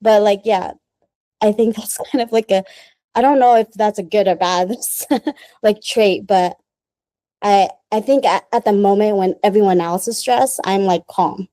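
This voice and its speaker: A woman who grew up in the Philippines talks through her answers in a loose, chatty way, filling her speech with "like" and small laughs. Her voice is mellow and warm at a middle pitch. The recording is dry and close. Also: unhurried, no room sound. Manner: chatty and rambling, many "like"s, laughs often. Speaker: guest, small business founder. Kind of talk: casual interview answers.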